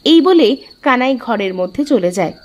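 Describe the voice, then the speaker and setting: A woman's voice: fairly high pitch, expressive, moderate pace, a little mellow. A woman narrating a scripted cartoon folk tale as a storyteller.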